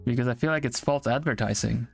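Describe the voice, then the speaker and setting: Halting man chatting, faint music. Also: medium pitch, creaky. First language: Swedish. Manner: halting, repeats words while searching for them. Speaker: streamer. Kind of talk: casual chat on a live art stream.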